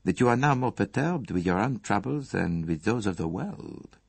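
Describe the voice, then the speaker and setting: A man voicing a courteous, shrewd foreign detective in an audiobook, with formal, measured, precise phrasing and a gently probing manner. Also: on the low side, lively intonation, gravelly, husky, no room sound, full character voice. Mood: calm, probing.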